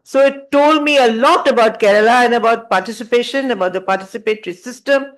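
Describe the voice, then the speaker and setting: A man's voice: extremely high pitch, fast talker, a bit bright. A man on a panel at a public event, earnestly telling an anecdote and quoting what others said. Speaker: panel speaker at a seminar event.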